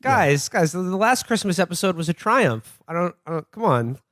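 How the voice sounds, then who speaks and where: A man hosting a podcast, delivering scripted casual banter in a dry, measured manner. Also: sits high, very animated, a bit bright, no room sound. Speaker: podcast host.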